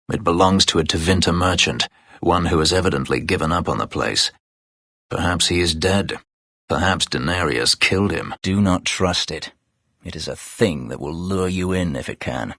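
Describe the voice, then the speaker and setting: Low husky brooding ex-slave warrior for a video game, guarded n terse, super dry sound. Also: male voice, fairly bright tone, no echo, exaggerated voice. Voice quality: husky, gravelly. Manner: terse, formal, brooding delivery. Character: brooding, bitter male former slave warrior, proud and guarded. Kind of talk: video game character dialogue.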